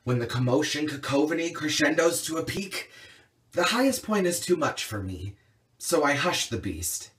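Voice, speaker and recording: Higher-voiced man reciting slam poetry. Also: sing-song, moderate pace, fairly bright tone, recorded from a distance. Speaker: slam poet.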